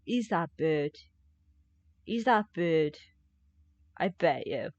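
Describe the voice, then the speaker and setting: A woman's voice: lowish voice, expressive, takes it slowly, darkish tone. A woman giving casual live gameplay commentary, chatty and informal, often muttering reactions to herself. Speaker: game streamer. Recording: slightly dull stream mic.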